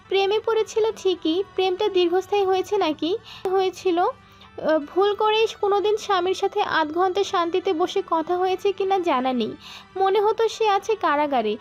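Very high-pitched woman narrating a story. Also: highs cut off. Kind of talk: scripted romantic story narration.

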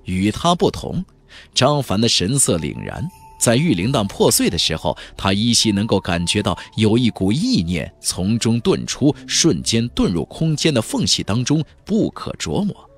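Highly animated male audiobook narrator. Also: normal speed. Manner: expressive, storyteller delivery. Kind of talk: dramatic scripted narration.

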